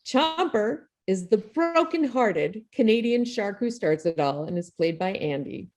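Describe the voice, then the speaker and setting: A woman's voice: mid-range voice, animated, measured pace, a little mellow. A woman hosting a table read over a video call, speaking informally with a jokey, self-deprecating manner. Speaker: playwright and host of an online table read. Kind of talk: informal spoken introduction to a show.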